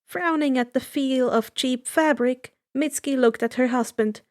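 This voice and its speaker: Woman narrating a fanfic, high voice, expressive storytelling. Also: moderate pace. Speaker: fan fiction reader.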